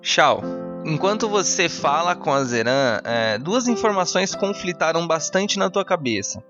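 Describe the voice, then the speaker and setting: Game master, man, higher-pitched, narrating tabletop roleplay; slightly muffled sound with background music. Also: a bit bright. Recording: dull lo-fi, music behind.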